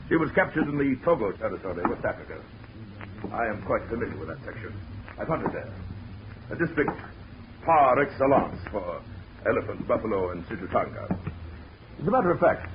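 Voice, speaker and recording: Pompous old-radio villain, gentleman hunter type, lowish voice, very grand wording, noisy muffled recording. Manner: grandiloquent, florid, formal. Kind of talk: 1940s radio drama acting.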